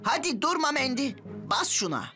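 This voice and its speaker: An actress does a taunting young boy for a children's cartoon. The voice is high and swooping, with loud music behind it. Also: sing-song, moderate pace. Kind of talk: animated children's series dub acting.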